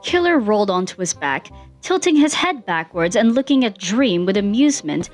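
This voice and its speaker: A woman reading fan fiction as an expressive scripted story narrator. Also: mid-range voice, normal speed, faint music behind.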